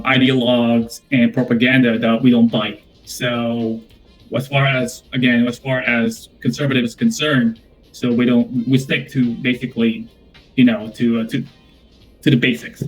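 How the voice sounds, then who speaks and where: Filipino man interviewee, mid-pitched, steady, leans on fillers and repeats words. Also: soft background music, low-quality recording. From Philippines. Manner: measured, repetitive, leans on "basically" and restarts. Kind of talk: casual interview answers.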